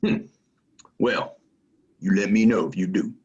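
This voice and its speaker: An actor playing a menacing, distrustful gunslinger stranger in a Western audio play, talking in a terse, probing way. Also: male voice, lowish voice, fairly even intonation, a little slow, a little mellow, dull audio, lightly acted. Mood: coolly threatening.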